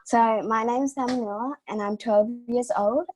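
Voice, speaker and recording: A woman's voice: a little high, fairly even intonation, moderate pace, darkish tone, low-quality recording. A young woman from Sri Lanka reading her personal story aloud over a video call, careful with small slips.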